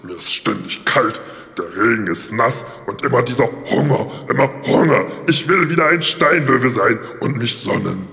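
Children's radio play lion, male performer: grumbling, fry-laden, simple, plaintive, hesitant, echoing hall. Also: medium pitch, lively intonation, normal speed, bright, forceful tone. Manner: hesitant, repeats words, plaintive. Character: grumpy, sun-loving, hungry talking lion, simple-minded. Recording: reverberant hall sound.

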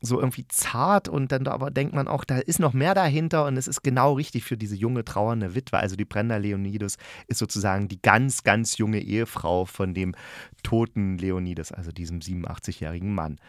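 A man's voice: on the low side, a little fast, no room sound, studio quality. A man hosting a casual spoken review, chatting informally and thinking aloud as he goes. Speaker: podcast host reviewing audio dramas.

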